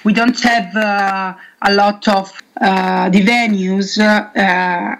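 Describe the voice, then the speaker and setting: A young woman with an Italian accent, answering interview questions haltingly, with many pauses and restarts. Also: medium pitch, fairly even intonation, a little hiss or hum. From Italy. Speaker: metal band singer.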